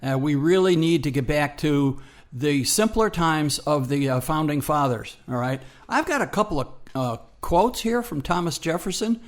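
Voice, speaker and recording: A man's voice: on the high side, lively intonation, normal speed. A man co-hosting a radio program with conversational commentary, emphatic and given to repeating phrases. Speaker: radio show co-host.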